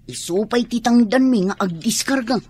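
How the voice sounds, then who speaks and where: An actress playing a dutiful, hard-working young person, earnest and reassuring, in a radio drama. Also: female voice, on the high side, normal speed, darkish tone, slightly rough. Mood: caring, earnest. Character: dutiful, hard-working young adult child of ailing mother.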